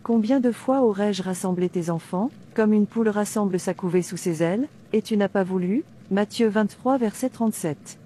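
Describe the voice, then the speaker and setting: A woman playing a devout, fearless captive in an animated drama, reciting scripture in a declamatory way. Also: mid-range voice, normal speed, few pauses, slight background noise, natural voice. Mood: defiant, devout. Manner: declamatory, reciting verses with references.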